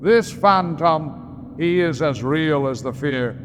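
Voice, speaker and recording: A man's voice: a little high, slow pace, fairly bright tone. Man playing a tormented gothic storyteller, echoing hall. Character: tormented theatre storyteller, horrified witness of a phantom. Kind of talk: gothic theatrical monologue. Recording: reverberant hall with clear background ambience noise.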